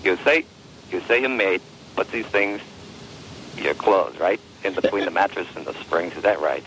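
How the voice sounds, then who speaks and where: Low, animated, insistent man on a noisy call. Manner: insistent, halting, repeats phrases. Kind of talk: phone conversation.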